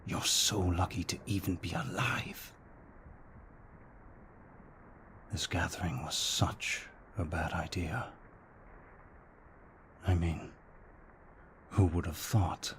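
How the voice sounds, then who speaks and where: A man acting as a young vampire aristocrat, courteous and protective, murmurs in a very low register. He takes his time with each formal, measured phrase, keeping the melody flat, in an intimate ASMR roleplay with faint noise behind him. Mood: urgent, soothing. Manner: formal, polite, hushed and measured. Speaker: voice actor in an audio roleplay.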